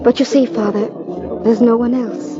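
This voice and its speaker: An actress playing a wistful, genteel, unhappily married daughter in an old-time radio drama. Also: female voice, fairly high pitch, fairly even intonation, moderate pace, natural voice. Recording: muffled old radio, loud music.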